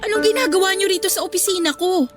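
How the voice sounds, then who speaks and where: A woman's voice: very high-pitched, quick pace, loud music behind the voice. A young woman playing a polite, uneasy office worker in a radio drama. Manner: polite, uses respectful po.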